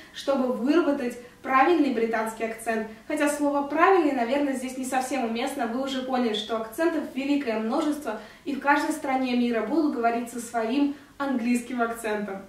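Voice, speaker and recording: High-voiced young woman in an echoey room. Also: recorded from a distance. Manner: earnest, explanatory, gestures with her hands. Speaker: vlogger. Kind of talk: casual to-camera talk. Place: indoor room at home.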